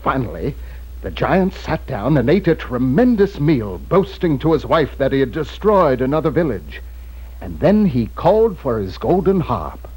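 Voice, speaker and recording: A man narrating a scripted children's storybook in an expressive, dramatic way. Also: medium pitch, moderate pace, husky, low-quality recording. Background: loud music.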